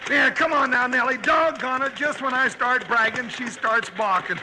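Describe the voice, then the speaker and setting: Comic wagon driver: very high, cracking voice, rustic American Western drawl, jolly and rambling, on a noisy old radio recording. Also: male voice, normal speed. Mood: jovial. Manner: rambling, rustic, good-humoured. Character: folksy, jolly cowboy sidekick wagon driver. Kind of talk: 1950s radio western acting.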